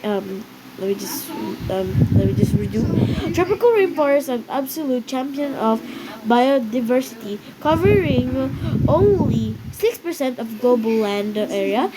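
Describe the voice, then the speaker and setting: A woman's voice: fairly high pitch, animated, unhurried. A woman with a cough and a cold, chatting casually and hesitantly while she colors, with many ums and restarts. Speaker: vlogger. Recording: loud background noise.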